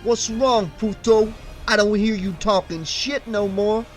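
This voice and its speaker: A man's voice: high-pitched, fairly even intonation, measured pace, soft background music, pitched above the performer's own voice. A man doing a snarling accented street thug. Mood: menacing, shouting. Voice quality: Spanish-tinged accent. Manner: shouting, snarling insults. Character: burly violent male thug, menacing and cursing.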